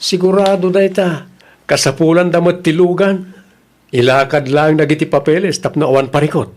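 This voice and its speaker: Man acting calm, considerate husband. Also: a little high, moderate pace, lightly acted. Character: considerate, patient husband and dutiful son. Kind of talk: audio drama acting.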